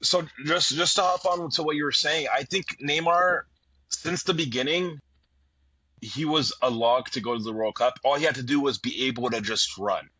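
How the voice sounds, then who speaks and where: A man's voice: medium pitch, normal speed, bright, forceful tone, slightly rough, no room sound. A man from Brazil hosting a football podcast, talking with many hesitations and fillers while steering the talk with questions to his guests. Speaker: podcast host. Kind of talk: casual football discussion. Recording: dull lo-fi mic.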